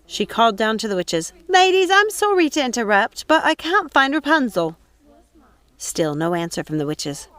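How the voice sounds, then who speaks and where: A woman reading an audiobook as a dramatic, suspenseful narrator. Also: on the low side. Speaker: audiobook reader. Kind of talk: dramatic scripted story narration.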